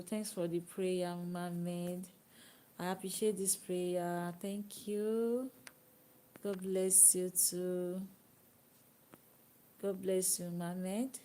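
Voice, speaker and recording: A Nigerian woman whose native language is Yoruba, chatting casually with viewers on a live stream, sometimes dropping to a soft whisper. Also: on the low side, slight background noise, low-quality recording. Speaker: livestream host. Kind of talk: casual live chat reading comments.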